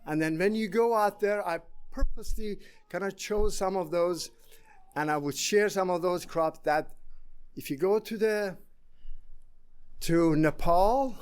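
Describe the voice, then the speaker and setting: A man in his sixties from Iran with an Iranian accent, giving a conference presentation in a halting way with many pauses. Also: a little high, smooth. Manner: halting, many fillers and restarts. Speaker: conference speaker.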